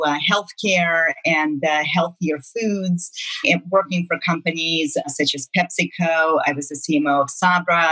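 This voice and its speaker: A woman's voice: sits low, sharp tone, low-quality recording. A middle-aged woman from Russia, speaking with a Russian accent, tells her career story in an interview with fluent, emphatic phrasing that repeats words for stress. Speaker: guest, marketing executive.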